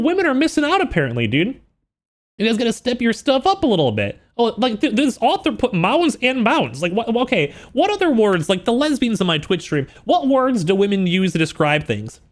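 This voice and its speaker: A man with a high voice talks like a casual livestreamer reacting to things. His pitch moves around a great deal, giving him a very animated sound. He is slangy and joking, with an incredulous edge. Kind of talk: casual livestream reaction with book read-outs.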